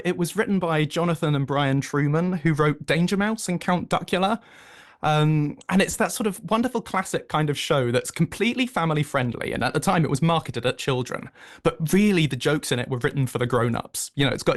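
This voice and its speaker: A British man with a slightly high voice talks as a radio interview guest. He is effusive and enthusiastic, explaining things in an easy conversational way. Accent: British English. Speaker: interview guest, audio drama producer.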